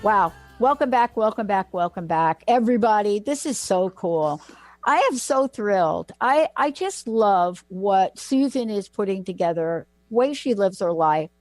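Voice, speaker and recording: A woman's voice: mid-range voice, expressive, unhurried, darkish tone, no echo, soft background music. A woman hosting a talk radio show, gushing and effusive as she welcomes her guests back.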